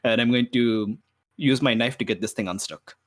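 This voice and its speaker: A man's voice: mid-range voice, moderate pace. A man playing a tabletop game, casually thinking aloud as he describes and weighs his moves.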